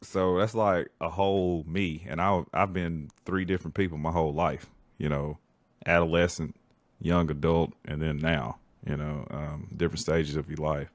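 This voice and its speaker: A man's voice: rumbling low, flat delivery, moderate pace, no room sound. A man in his forties chatting casually as a podcast co-host, with slangy, laid-back phrasing. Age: 40s. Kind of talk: casual chat.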